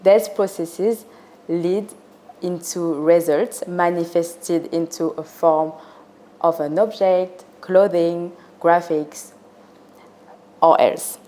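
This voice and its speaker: A young woman with a French accent hosting a casual interview, speaking slowly and laughing often. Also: lowish voice, steady tone, mellow. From France. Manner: slow and giggly, laughs often. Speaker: host.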